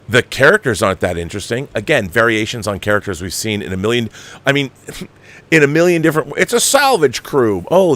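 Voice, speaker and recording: A man's voice: medium pitch, a bit bright. Exasperated man ranting about films. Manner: exasperated, emphatic, profane. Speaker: host of a film commentary show. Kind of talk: opinionated movie review rant.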